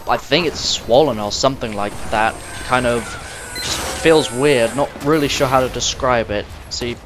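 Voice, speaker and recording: A young man, slightly croaky and sniffly, rambling casually to the viewer as he plays and comments on a game. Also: mid-range voice. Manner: rambling, chatty, talks straight to the viewer. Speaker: gamer recording gameplay commentary. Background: game music and sounds.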